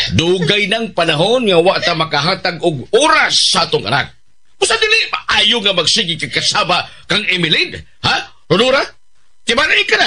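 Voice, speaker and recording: An actor with a Filipino accent playing an exasperated husband and father in a radio drama, emphatic and husky. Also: high-pitched, sharp tone, exaggerated voice. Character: exasperated husband and father reproaching his wife.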